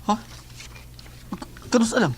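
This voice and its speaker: A man playing an anxious, questioning young son in a horror audio drama, with a husky voice. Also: slow, close to an everyday voice.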